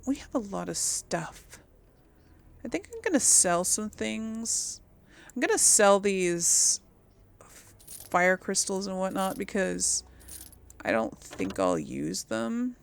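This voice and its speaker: A woman's voice: mid-range voice, very animated, takes it slowly, creaky, long pauses. A woman giving casual, chatty gameplay commentary as host, musing aloud and often trailing off. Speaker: gaming video host.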